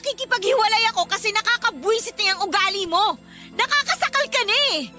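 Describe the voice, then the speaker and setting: Irritable live-in girlfriend, Filipino accent, piercingly high pitch, sharp-tongued, radio drama delivery, clear music bed. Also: lively intonation, fast talker. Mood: defiant, irritated. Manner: snappish, scolding, exasperated. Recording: music behind the voice.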